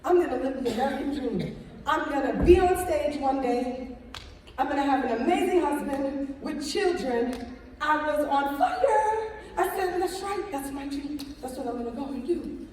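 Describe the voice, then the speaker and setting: A middle-aged woman telling her story on stage as a motivational speaker, animated, tagging her lines with "right?". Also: high-pitched, normal speed, a little hiss or hum. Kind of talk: storytelling talk on stage with slides. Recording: echoey hall from far off.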